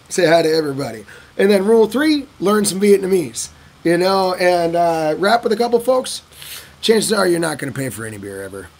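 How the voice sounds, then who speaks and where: A man casually answering viewer questions in a vlog, telling stories in an animated way and acting out other people's lines. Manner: animated storyteller who acts out locals' lines in a higher voice. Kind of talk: casual talking-head Q&A.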